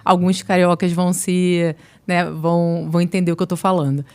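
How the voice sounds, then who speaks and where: A quick-speaking woman from Niterói, with a Rio accent worn smooth by years in São Paulo. Her voice sits a little low, and she tells her career story as an interview guest. She brings her anecdotes to life by acting out the dialogue. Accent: Rio de Janeiro Portuguese, softened by years in São Paulo. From Niterói, Brazil. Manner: animated storyteller who acts out quoted dialogue. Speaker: guest, marketing executive. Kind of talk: casual interview talk.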